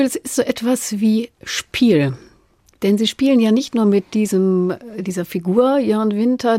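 A woman hosting a literary interview, speaking in a measured, thoughtful way. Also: medium pitch, warm tone. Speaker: interviewer, radio host.

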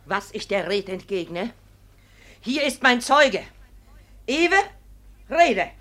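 A woman's voice: mid-range voice, big pitch swings, slow, fairly bright tone. An actress playing a proud, middle-aged village mother in a radio play, scolding and domineering. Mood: indignant. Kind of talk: theatrical radio play acting.

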